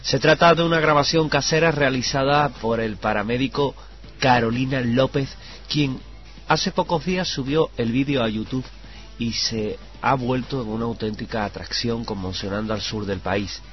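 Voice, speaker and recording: A man's voice: mid-range voice, normal speed. A man reading scripted news items on a radio show. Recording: dull radio sound with music. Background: background music.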